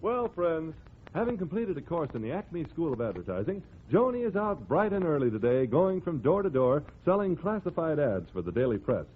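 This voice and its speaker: A man's voice: on the high side, sing-song, moderate pace, a little hiss or hum. A male radio announcer giving showy, polished scripted introductions in 1940s style. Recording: very narrow tinny 1940s radio recording, music behind.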